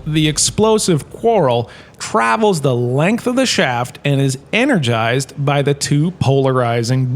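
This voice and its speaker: A man playing a washed-up actor turned lecturer in a comedic audio drama, chatty and wry, tossing asides to his class. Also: on the high side.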